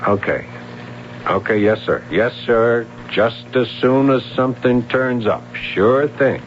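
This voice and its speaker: An actor playing an earnest young police sergeant who gets defensive and agitated. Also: male voice, mid-range voice, slow, noisy background, natural voice. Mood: harried. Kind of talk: 1970s radio drama acting. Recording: dull lo-fi radio broadcast.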